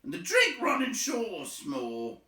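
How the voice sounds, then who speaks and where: A man voicing hungry, coarse trolls who grumble loudly in rustic slang for a storybook. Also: sits high, huge pitch swings, measured pace, reverberant, voice pushed up, full character voice. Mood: disgruntled. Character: grumbling, hungry, coarse male troll complaining about food.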